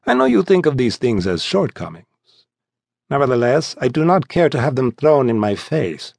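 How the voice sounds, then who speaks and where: A man voicing a refined, languid young nobleman in an audiobook, haughty and bored, speaking formally and with measured disdain. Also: mid-range voice, sing-song, gravelly, a little mellow, no room sound. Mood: haughty, offended. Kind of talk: audiobook character voice.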